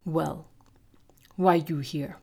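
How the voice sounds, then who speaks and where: A brutish half-orc gang leader with a scarred face and a derisive snort, as a woman reader puts him on. He talks in clipped, broken phrases and has a lowish voice. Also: fairly even intonation, extremely slow, vocal fry, a little mellow, breathy edge, exaggerated voice. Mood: mocking.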